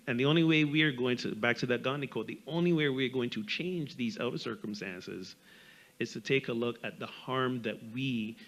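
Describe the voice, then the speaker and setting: A middle-aged man with a light Barbadian accent, speaking candidly and reflectively on a panel, in a halting way with repeated words. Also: medium pitch, fairly bright tone, rough recording.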